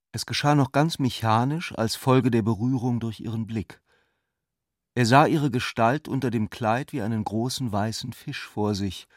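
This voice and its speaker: A man's voice: medium pitch, no echo. Man narrating literature, dry close sound. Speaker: narrator of a literary radio play.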